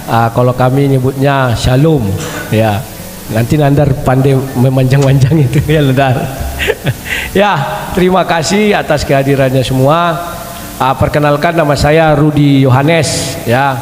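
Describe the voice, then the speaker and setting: A middle-aged man with a cold introduces himself as a debater, speaking emphatically and clearing his throat often. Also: measured pace, darkish tone, slight background noise. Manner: emphatic, rising pitch, frequent throat clearing. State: has a cold, coughing. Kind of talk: self-introduction at a live debate. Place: large echoey hall. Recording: echoey hall with long reverb.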